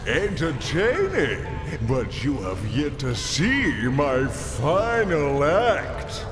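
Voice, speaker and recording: A man playing a vengeful, theatrical male villain in a video game cutscene, delivering grandiose, drawn-out threats. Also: a little high, huge pitch swings, exaggerated voice. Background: loud game music.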